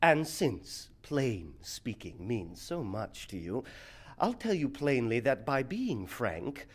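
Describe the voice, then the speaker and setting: Slow, urbane voice of reason. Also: male voice, medium pitch, sing-song, husky, heavily acted. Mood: amused, chiding. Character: reasonable, moderate gentleman, gently mocking, philosophically calm. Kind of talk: stage acting of a verse comedy.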